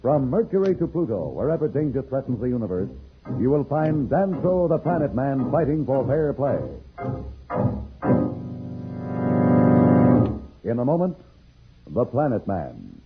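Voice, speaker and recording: A dramatic announcer for an old radio space serial. His scripted narration comes through a narrow, phone-like vintage recording with loud music. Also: mid-range voice, sing-song, normal speed. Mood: grand.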